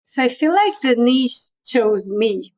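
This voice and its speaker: A woman's voice: fairly high pitch, very animated, slow pace, darkish tone, no room sound, dull audio. A middle-aged woman from Hungary with a Hungarian accent, chatting as a guest in an interview and telling her story with many asides. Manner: storytelling, chatty, frequent "you know" and laughs. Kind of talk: conversational interview.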